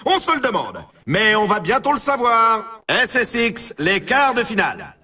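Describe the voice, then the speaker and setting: A man playing an excitable, grandiose snowboard championship announcer in a video game, delivering scripted, theatrical sports commentary. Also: high voice, measured pace, husky, recorded from a distance, full character voice. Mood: hyping, grandiose. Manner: theatrical, grandiose, hyping the event.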